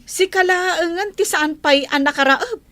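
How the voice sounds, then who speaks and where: A woman's voice: extremely high pitch, measured pace, no room sound. A woman playing a fretful, complaining village farm woman in an Ilocano radio comedy drama. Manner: animated, complaining.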